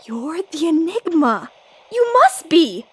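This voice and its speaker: Radio drama sidekick: pert young woman played by an actress, extremely high pitch, inquisitive, slight background noise. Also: sing-song, takes it slowly, fairly bright tone, heavily acted. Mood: urgent, probing.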